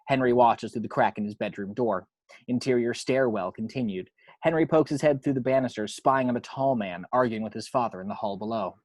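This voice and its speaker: A man's voice: medium pitch, a little fast, a little mellow, no echo. A man narrating screenplay action lines at an amateur table read in a matter-of-fact storytelling way.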